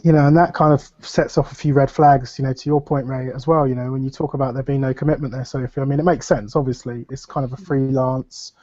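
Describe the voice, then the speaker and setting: A man's voice: medium pitch, steady tone, fast, hardly any pauses, darkish tone, dry recording. A man with a nasal voice from a cold, talking in a rambling, hesitant way with many fillers on a casual video call. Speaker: panel guest. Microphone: computer microphone on video call. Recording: dull dry video call audio.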